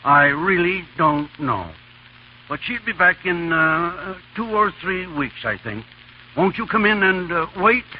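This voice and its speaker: An actor playing a befuddled, absent-minded older professor, dithering hesitantly in 1930s radio comedy. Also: male voice, sits high, expressive, unhurried. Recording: muffled, hissy 1930s radio recording.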